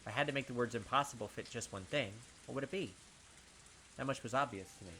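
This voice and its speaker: A young man with a bright, forceful voice reads a visual novel aloud at a middling pitch. He narrates in a dramatic first person and jumps into excited, swearing asides between passages. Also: fast talker, slight background noise. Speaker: let's play gamer.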